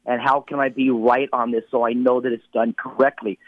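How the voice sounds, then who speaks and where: A man's voice: mid-range voice, level tone, fairly quick, no room sound. A man in his late fifties giving a motivational talk on a radio show, speaking emphatically in a repetitive, preacher-like cadence over a phone line. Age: 50s.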